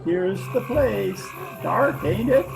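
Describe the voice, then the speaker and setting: A man playing a dim, bumbling henchman in a 1940s-style radio comedy, croaky, whiny and slangy. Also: high-pitched, expressive, music in the background, full character voice, rough recording. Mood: casual menace. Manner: slow-witted, whiny, slangy, ungrammatical. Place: remote video-call performance.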